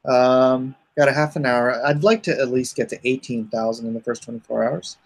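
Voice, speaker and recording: A man's voice: medium pitch, fairly even intonation, moderate pace. A man chatting casually as a livestream host, rambling informally with joking asides. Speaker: comic artist hosting a crowdfunding livestream.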